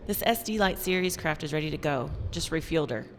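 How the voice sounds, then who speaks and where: A woman's voice: deep voice, lively intonation, fast talker. A woman playing an easygoing, friendly spaceship dock mechanic, talking casually in a sci-fi audio drama. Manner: casual, familiar.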